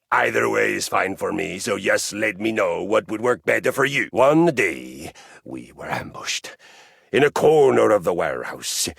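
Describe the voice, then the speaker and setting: A boastful Norse warrior who is really a piece of exercise equipment in a video game, with a Norwegian accent and a pompous, theatrical manner. His gravelly, husky voice leaps wildly between low rumbles and high flourishes. The sound is dry and close. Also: a bit bright, no room sound, heavily acted. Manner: pompous, theatrical swings in pitch. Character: boastful Norse-style male exercise machine, honor-obsessed warrior. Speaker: dating game character.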